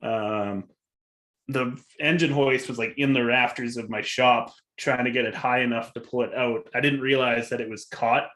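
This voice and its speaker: A man with a medium-pitched voice co-hosts a live car show. He talks casually, like someone swapping stories with a buddy, and tends to chuckle through what he says. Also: steady tone, moderate pace, a bit bright. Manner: chuckles through his talk. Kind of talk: casual chat and storytelling.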